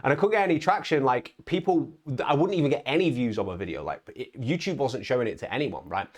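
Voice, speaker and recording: Energetic man with animated delivery. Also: quick pace. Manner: emphatic, lively pitch swings, frequent "right?" tags and stumbling restarts. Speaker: vlogger on online business. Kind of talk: casual motivational monologue.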